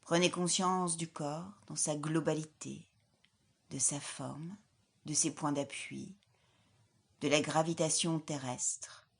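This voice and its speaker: A woman leading a guided yoga nidra relaxation as an instructor, in a calm, soothing, measured way with soft, breathy phrase endings. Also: low voice. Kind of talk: guided relaxation meditation, scripted.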